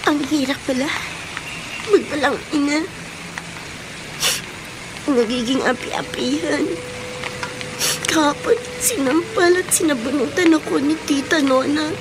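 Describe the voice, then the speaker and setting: A woman's voice: sits high, measured pace, long pauses, background noise. A woman with a Filipino accent playing an abused young stepdaughter, plaintive and hurt, in a radio drama. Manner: plaintive, polite, submissive.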